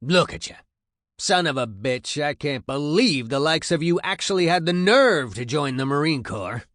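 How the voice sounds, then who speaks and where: Man acting a loud, gruff Marine drill sergeant. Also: a little high, expressive, moderate pace, no echo, studio quality, pitched above the performer's own voice. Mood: disgusted, contemptuous. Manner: barking, berating commands. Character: furious foul-mouthed Marine drill sergeant, contemptuous and bullying.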